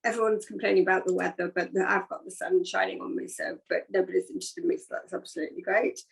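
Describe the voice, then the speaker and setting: A woman's voice: lowish voice, steady tone, quick pace, creaky, husky, poor audio. A woman hosting a live online interview, giving upbeat, effusive introductions over a video call. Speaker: host.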